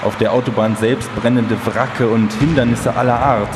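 A man playing a German engineer soberly recounting his wartime memories in a measured, formal way in a documentary radio feature. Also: mid-range voice, fairly even intonation, background noise, natural voice. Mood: grave, reflective. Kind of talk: documentary radio feature, live first-person reading. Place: large hall, live stage performance. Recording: echoey hall with long reverb.

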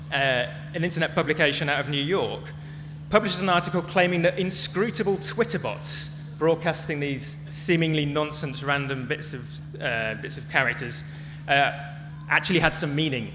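A man giving a prepared public talk as a speaker, telling stories in a measured, dramatic way. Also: on the high side, noisy background. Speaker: conference speaker. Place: large hall, talk to an audience. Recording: echoey hall with music behind.

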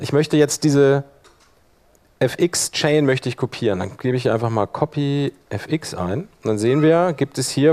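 A man's voice: mid-range voice, a little mellow. A man presenting a workshop in an informal tutorial style, thinking aloud as he demonstrates step by step.

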